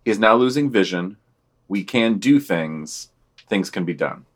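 A man co-hosting a podcast, reading news items in an earnest, measured way. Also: fairly low pitch, lively intonation. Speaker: podcast co-host.

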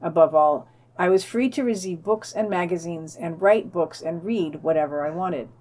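A woman's voice: lowish voice, moderate pace, darkish tone. A woman co-hosting a podcast, reading a news digest in an informal, wry way. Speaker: podcast co-host and news reader.

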